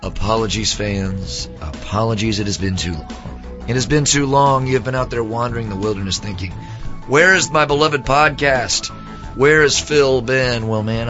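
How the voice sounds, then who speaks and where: A hoarse man, hosting a podcast from a recording studio in a lively, mock-grandiose, folksy way. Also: fairly low pitch, dull audio. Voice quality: raspy. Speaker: podcast host. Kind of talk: casual chat.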